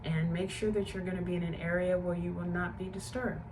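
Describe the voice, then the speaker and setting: Soft-spoken woman, nasal from a cold. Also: fairly low pitch, little pitch movement, a little fast, background noise. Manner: gentle, savoring, softly enthusiastic. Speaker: tea and book channel host. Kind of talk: relaxed tea tasting vlog with guided breathing.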